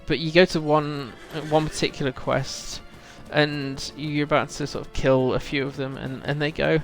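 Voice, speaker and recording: A man's voice: on the high side, steady tone, normal speed, soft background music, rough recording. A man chatting casually as he hosts a game livestream, rambling and thinking aloud to his chat while a bit under the weather with a cold. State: feels full of cold, often clearing throat.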